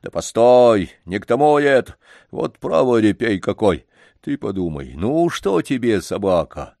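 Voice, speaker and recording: A man doing a loud, pushy yard-keeper. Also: on the low side, sing-song, unhurried, gravelly, dry recording, studio quality, heavily acted. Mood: insistent. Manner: loud, pleading, insistent. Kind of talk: audiobook character voice.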